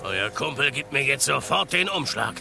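A man's voice: mid-range voice, big pitch swings, moderate pace, creaky, over music. An actor playing a menacing male street thief, curt and commanding, in a German audio drama. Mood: threatening. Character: menacing street thief with a knife, gruff bully.

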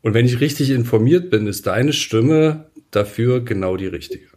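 A man hosting a podcast, introducing his guest and asking friendly, relaxed questions. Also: mid-range voice, moderate pace. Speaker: podcast host, newspaper editor-in-chief. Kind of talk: podcast interview.

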